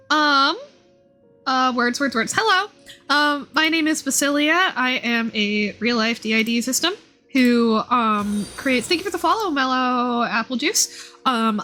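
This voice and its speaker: A woman livestreamer with a somewhat high voice talks informally to her viewers about a video game. She is chatty and loose, often looping back to rephrase herself. Light game music plays underneath. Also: bright, forceful tone. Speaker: streamer and VTuber model creator. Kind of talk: casual livestream chat and game commentary. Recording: game music playing behind the voice.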